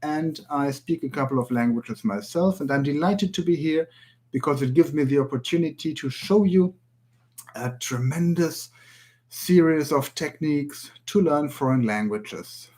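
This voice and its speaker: A man's voice: on the high side, normal speed, a little mellow. A man from Germany speaking English with a German accent, presenting a spoken language tutorial and sometimes searching for English words. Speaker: language teacher.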